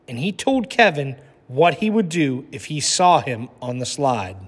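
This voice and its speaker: A man's voice: medium pitch, very animated, a little slow, slightly rough. A man with an instructive manner, speaking as a teacher reading a children's storybook aloud. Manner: instructive, checks in with listeners. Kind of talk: lesson and storybook narration. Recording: echoey room with long reverb.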